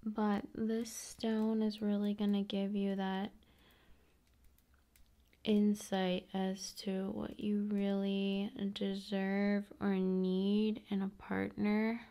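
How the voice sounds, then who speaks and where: Slow, soft-spoken ASMR woman, often whispering. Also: mid-range voice, completely flat delivery. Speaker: ASMR video host. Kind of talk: ASMR, soft intimate talk to the viewer.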